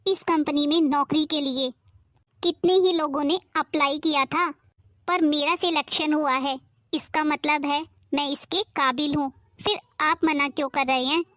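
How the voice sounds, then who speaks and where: A woman's voice: high-pitched, fairly even intonation, a little slow, a bit bright, no room sound. A woman doing an earnest young graduate. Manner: earnest, pleading, eager. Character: determined, hopeful young woman, recent graduate. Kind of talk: animated family drama dubbing.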